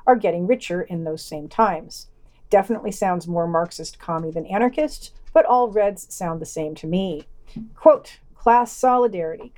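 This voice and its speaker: A woman with a mid-pitched voice reads news for a podcast. Her delivery is scripted but wry, with dry, sardonic commentary slipped in as she goes. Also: a little mellow. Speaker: podcast co-host reading news.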